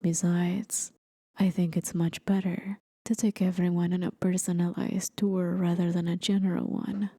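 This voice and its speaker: A woman playing a playful, courteous demon forest guide in an ASMR roleplay, with soft, breathy, often whispered speech and a teasing, gently theatrical manner. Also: on the low side, level tone, normal speed, no echo, clean studio sound. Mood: playful coaxing. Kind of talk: ASMR roleplay, scripted acting.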